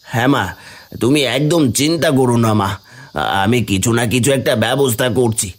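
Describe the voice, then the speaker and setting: Guy doing a caring village son for a kids cartoon, mid pitch, earnest and pleading. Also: a little slow, close to an everyday voice. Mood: earnest, reassuring. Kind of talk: dramatic animated fairy tale acting.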